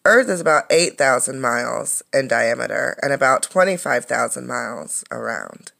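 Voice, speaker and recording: A woman's voice: very deep voice, big pitch swings, gravelly, fairly bright tone. A woman reading a children's science book aloud like a teacher, explaining things as she goes. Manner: explanatory, pausing to comment and ask questions.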